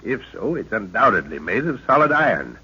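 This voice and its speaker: An actor playing a thoughtful middle-aged professor who leads an expedition, speaking in a measured, hesitant way in a 1930s radio drama. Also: male voice, on the low side, sing-song, sharp tone, slightly rough, exaggerated voice. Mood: calm, resolute. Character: scholarly middle-aged male professor, calm leader. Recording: dull lo-fi old radio recording.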